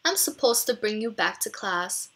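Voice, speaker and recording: Woman as cheeky storybook schoolboy friend, caring underneath, bright, insistent delivery. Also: medium pitch, lively intonation, normal speed, husky. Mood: coaxing. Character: teasing but caring young schoolboy animal, best friend.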